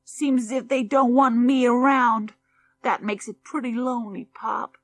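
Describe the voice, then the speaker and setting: A woman voicing a spoilt young boy in an audiobook, whining and pleading in an aggrieved, drawn-out way. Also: on the high side, steady tone, no echo, pitched above the performer's own voice.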